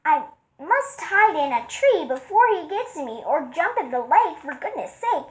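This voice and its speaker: Nervous male storybook elephant, squealing and fretful, high and lively, read by a woman. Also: normal speed. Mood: panicked. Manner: squealing, fretful, then giggling. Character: big nervous male elephant, sorry and jittery.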